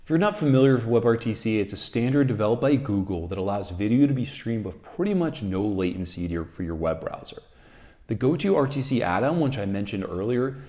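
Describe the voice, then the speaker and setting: A man's voice: lowish voice, dark tone. A man presents an explanatory tech video to camera. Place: quiet living room, indoors. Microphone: lapel microphone.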